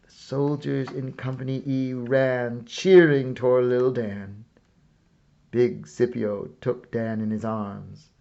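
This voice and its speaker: A man reading a children's story aloud as scripted narration, with a measured, dramatic storyteller's delivery. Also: mid-range voice, level tone, creaky, a little mellow, dull audio. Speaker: storyteller reading a picture book.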